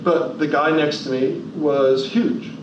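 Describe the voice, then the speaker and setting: Man from new jersey, motivational speaker, emphatic, rhetorical questions, higher voice, echoey distant hall, dull noisy recording. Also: fairly even intonation, takes it slowly. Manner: emphatic, rhetorical questions, varies pace for effect. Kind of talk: motivational talk to an audience. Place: large hall.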